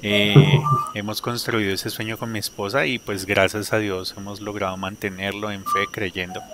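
A man in his thirties, a guest sharing his faith story on a video call through a headset, talking warmly with frequent laughs and fillers. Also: lowish voice, flat delivery, normal speed, very noisy. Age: 30s. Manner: warm, laughs often, hesitant fillers. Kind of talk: personal testimony in an interview.

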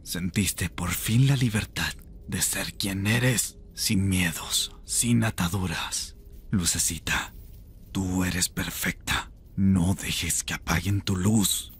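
A man acts out an imprisoned rebel mage for an ASMR roleplay. His voice is low and extremely breathy, with a gravelly rasp at the edges. He speaks intensely, seductive and tender at once. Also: slow pace, full character voice. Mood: tender, coaxing.

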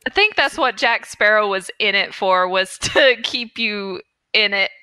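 A woman with a bright, crisp-edged voice at an average pitch co-hosts a casual comedy podcast. She banters playfully in an informal way, recorded very dry. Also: animated, unhurried, no echo. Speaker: podcast co-host.

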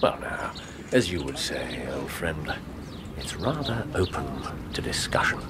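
An actor playing a cheerful, evasive English gentleman detective in a radio drama, with theatrical delivery. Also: a bass voice, sing-song, measured pace, very noisy, exaggerated voice. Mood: breezy, evasive. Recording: clear outdoor ambience with birdsong.

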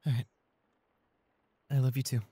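A man acts out a shy, clumsy young boyfriend in an intimate audio roleplay. His voice is mellow and soft, recorded close and dry. He is anxious and devoted, hesitating and stammering as he speaks. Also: medium pitch, flat delivery, normal speed, slightly rough, no room sound, close to an everyday voice. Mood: calmer, hesitant. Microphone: close studio condenser microphone.

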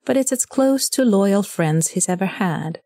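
A woman's voice: mid-range voice, expressive, unhurried, warm tone, no room sound. A woman narrating a scripted story as a storyteller for an audio drama.

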